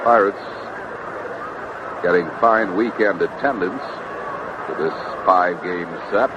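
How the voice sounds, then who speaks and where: A man's voice: deep voice, slow pace, highs cut off, a crowd in the background. A man calling live baseball play-by-play as a radio announcer, on an old 1970s broadcast recording. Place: stadium broadcast booth. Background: loud ballpark ambience and noise.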